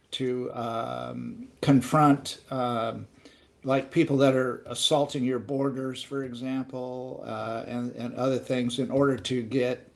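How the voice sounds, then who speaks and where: A man speaking as a podcast panelist, musing hesitantly and trailing off as he thinks aloud. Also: medium pitch. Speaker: panelist, pilot. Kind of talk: casual panel chat.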